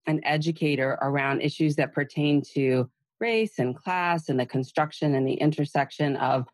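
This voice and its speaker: A woman hosting a podcast interview from a home in Massachusetts over a video call, speaking gently and meanderingly with soft fillers. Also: sits low, steady tone, moderate pace, no room sound. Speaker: podcast host.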